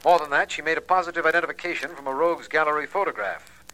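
An actor playing a brisk, dryly ironic district attorney in 1940s radio drama style, insistent and wry. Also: male voice, a little high, sharp tone, poor audio.

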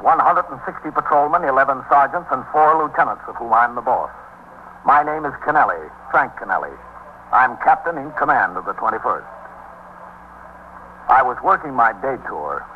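A man playing a calm, matter-of-fact police captain in a 1950s radio drama, speaking in a measured, official way. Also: mid-range voice, natural voice. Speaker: lead actor and narrator. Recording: muffled, noisy 1950s radio recording.

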